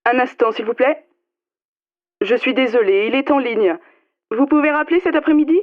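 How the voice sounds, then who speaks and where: A woman's voice: sits high, moderate pace. A woman answering calls in a scripted learner dialogue, speaking politely like a receptionist. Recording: tinny phone-line sound.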